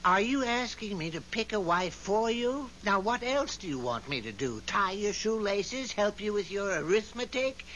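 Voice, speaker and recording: A long-suffering deity who tends the stars, theatrical and fed up. His voice sits a bit high and swings widely in melody. It comes from a faintly hissy 1970s radio drama recording. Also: big pitch swings, normal speed, few pauses, a bit bright. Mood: scolding. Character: weary, exasperated godlike male overseer of the stars.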